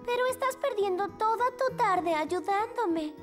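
A woman's voice: very high voice, lively intonation, a little slow, music in the background. A woman playing a kind, modest young girl in a children's cartoon. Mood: helpful.

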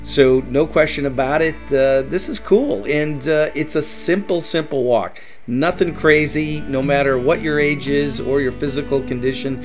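A man's voice: on the high side, moderate pace, few pauses, soft background music. A middle-aged man hosting a travel vlog, giving upbeat tips and often saying "that's right". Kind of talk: enthusiastic travel guide narration.

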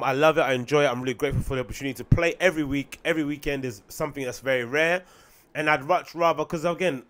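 A young man with a London accent and a slightly raspy voice chats casually to his listeners. He rambles, throws in slang and the odd swear word. He speaks close to a boom mic in a small home room. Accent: London English. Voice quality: raspy today. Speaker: podcast host and DJ. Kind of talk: casual solo podcast chat. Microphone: studio mic on boom arm close to mouth.

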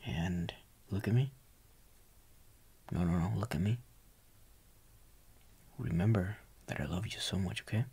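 Guy doing a sweet young boyfriend asmr thing, soft n breathy, gravelly low voice, real gentle and coaxing. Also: measured pace, long pauses, exaggerated voice. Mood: tender, soothing. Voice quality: soft, breathy, often near whisper. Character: tender, doting young boyfriend comforting his partner. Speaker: boyfriend roleplay performer.

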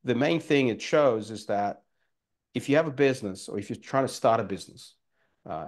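Guest: fortyish man, Australian accent, lowish voice, halting and filler-heavy, webcam from home. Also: a little mellow. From former Soviet Union, raised in Australia. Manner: rambling with many fillers and restarts. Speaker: guest entrepreneur. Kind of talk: casual interview talk. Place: home room by a fireplace. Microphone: video call webcam.

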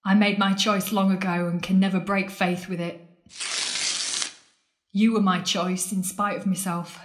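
An actress plays a worldly, defiant woman in a radio play. She speaks with intensity and a sardonic, challenging edge. The sound is roomy and echoey, with a mid-pitched voice. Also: flat delivery, a little slow, background noise, natural voice. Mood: resolved, loving. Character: Marrie, defiant worldly woman pleading with her lost love. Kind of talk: audio drama acting.

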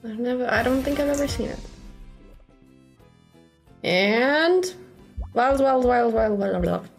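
A woman's voice: on the high side, animated, takes it slowly, gravelly, faint music behind. A young woman livestreaming a slot game, chatting casually in short repeated phrases and offhand asides. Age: young adult. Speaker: slot streamer.

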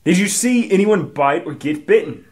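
An actor playing a stern, suspicious detective in a comic radio drama, insistent and pompously formal. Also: male voice, sits high, lively intonation, moderate pace, darkish tone. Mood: interrogating. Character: stern, suspicious male detective grilling small children.

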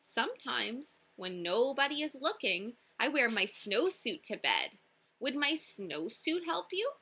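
A fearful storybook polar bear, read by a woman, confesses in a measured way. The voice is bright and fairly high, with slow delivery. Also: big pitch swings, breathy edge, exaggerated voice. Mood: confiding.

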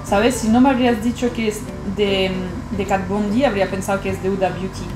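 A woman's voice: medium pitch, fairly even intonation, a little fast. A young woman, a native French speaker with a French accent, chatting casually as a guest in a makeup video. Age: young adult. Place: small bright bedroom, indoors. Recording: echoey room with background music.

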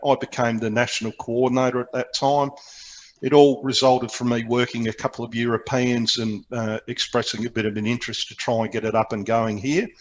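A man's voice: medium pitch, a bit bright, poor audio. An Australian man giving an informal, explanatory slide presentation over a video call, with frequent hesitations as he goes. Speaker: presenter, amateur radio programme coordinator. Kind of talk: slide presentation to a club meeting. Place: remote video meeting, speaking from indoors.

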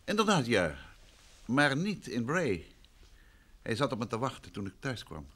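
An actor playing a composed, probing gentleman detective in an old Dutch radio play, polite, measured and formal in his questioning. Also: mid-range voice, wildly swooping pitch, breathy edge, full character voice. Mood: polite, firm.

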